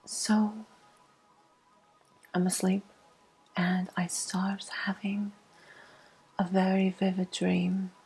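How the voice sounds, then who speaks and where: A woman telling a personal story as an ASMR host in a breathy, hushed, mostly whispered voice with slow pauses. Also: mid-range voice, little pitch movement, faint music behind, poor audio.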